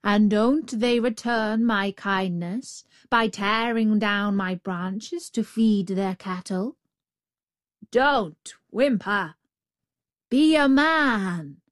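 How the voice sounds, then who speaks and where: A woman's voice: mid-range voice, drawn-out words, no room sound, studio quality. A woman voicing a cold, scornful, reproachful tree in a storybook tale. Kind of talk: storybook character voice.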